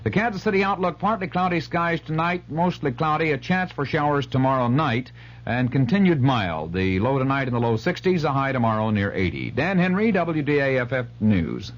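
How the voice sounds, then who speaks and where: A man's voice: mid-range voice, lively intonation, a little fast. A man reading sports and weather in a 1970s radio bulletin. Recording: dull old radio recording.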